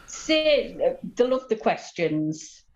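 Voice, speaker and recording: A woman's voice: fairly high pitch, big pitch swings, extremely slow. A woman chatting casually and agreeably as she gives approving feedback. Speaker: participant giving feedback. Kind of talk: casual chat. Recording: dull, clearly noisy recording.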